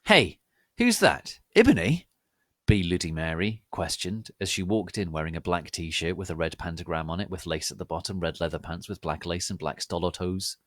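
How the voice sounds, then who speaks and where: A man's voice: low-pitched, fairly even intonation, brisk, few pauses, no room sound, studio quality. A man narrating a piece of fan fiction as a theatrical, mock-serious dramatic reading.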